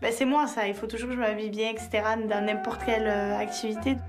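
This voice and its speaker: A woman's voice: on the high side, flat delivery, fast talker. Giggly woman talking to camera over music. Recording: music behind.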